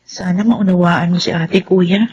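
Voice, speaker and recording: A Filipino woman playing a caring, sensible younger sister in a radio drama, with a breathy voice. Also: fairly low pitch, steady tone, measured pace, a little mellow, muffled recording. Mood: sympathetic.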